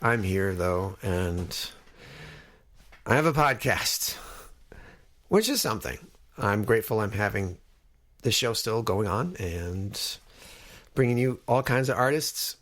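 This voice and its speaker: A man's voice: fairly low pitch, lively intonation, takes it slowly, long pauses, fairly bright tone. A man of fifty hosting a podcast in a casual, conversational style, talking directly to his listeners as he introduces things. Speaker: podcast host.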